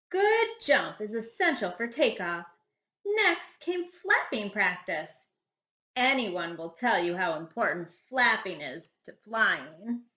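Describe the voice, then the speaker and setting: A woman reads a children's storybook aloud in an echoey room. Her delivery is dramatic and very animated, phrased deliberately for young listeners in the manner of a primary school teacher. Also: a little high. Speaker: first grade teacher reading a picture book.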